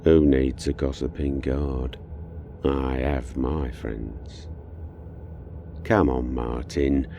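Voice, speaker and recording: A man playing a demonic presence inside a condemned boy murderer in an audio horror drama, taunting and contemptuous with a gravelly, menacing delivery. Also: mellow, slight background noise. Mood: bored, taunting. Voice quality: very deep, gravelly. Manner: slow, deliberate, taunting, menacing.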